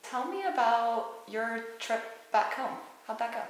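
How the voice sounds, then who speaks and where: A woman's voice: on the high side, lively intonation, slow. A woman interviewing a friend, asking casual questions and answering with short prompts like "gotcha" and "wow.". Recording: echoey room.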